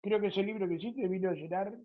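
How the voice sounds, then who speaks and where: A man's voice: high voice, fast, narrow, tinny audio. A man with an Argentine accent hosting a conversational radio programme, speaking in an informal, affectionate way. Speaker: radio host and interviewer. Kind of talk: conversational radio interview with closing book recommendation.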